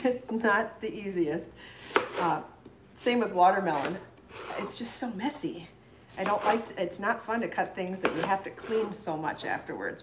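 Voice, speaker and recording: A middle-aged woman hosting a live cooking demonstration in her home kitchen, chatting and explaining as she chops, recorded by a fixed camera across the counter. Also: medium pitch. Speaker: cooking show host. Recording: echoey kitchen with chopping noise. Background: knife chopping on a cutting board.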